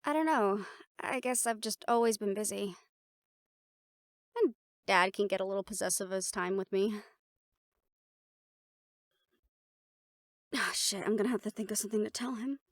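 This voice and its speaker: A woman's voice: medium pitch, brisk, no room sound, studio quality, lightly acted. A woman playing a confident, playful siren-banshee warrior in a conversational audio roleplay, talking intimately to the listener. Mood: worried, pensive.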